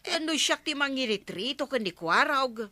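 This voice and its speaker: A woman's voice: high-pitched, animated, normal speed, bright, forceful tone, no echo. A woman playing a bickering, unashamed single village councilwoman in an Ilocano radio comedy drama. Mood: defensive.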